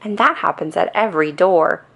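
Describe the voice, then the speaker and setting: A woman reads a scripted bedtime story for children. Her voice sits on the low side with noticeable creak, and her storytelling is calm yet expressive. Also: moderate pace. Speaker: storybook reader.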